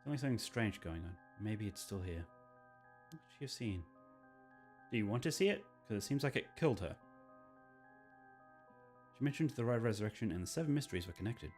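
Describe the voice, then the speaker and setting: Low-voiced man streaming over game music. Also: lively intonation, a little fast, long pauses, darkish tone. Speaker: streamer reading a visual novel aloud. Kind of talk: game playthrough commentary and dramatic read-aloud. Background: video game music.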